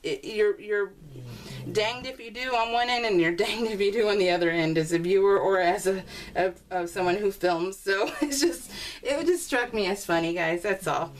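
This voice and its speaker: A woman's voice: medium pitch, normal speed. A woman chatting casually to her viewers in a craft video, rambling and often interrupting herself in a giggly way. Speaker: craft video maker showing scrapbook pages. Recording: clearly noisy home recording with clicks.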